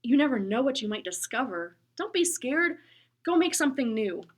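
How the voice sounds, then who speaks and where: A woman voicing a big male bus driver who speaks in a loud, lofty, gallant manner, in a storybook reading. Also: high-pitched, sing-song, normal speed, pitched above the performer's own voice. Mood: encouraging. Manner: bellowing, courtly and lofty speech.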